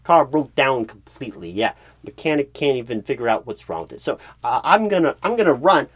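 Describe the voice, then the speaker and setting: A man voicing a clueless, bumbling job recruiter in an ASMR roleplay, earnest and scatterbrained, with halting, oddly stressed phrasing and a husky, breathy voice. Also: medium pitch, expressive, a bit bright, dry recording. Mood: flustered, apologetic. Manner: halting, oddly stressed phrases in a Christopher Walken-style impression.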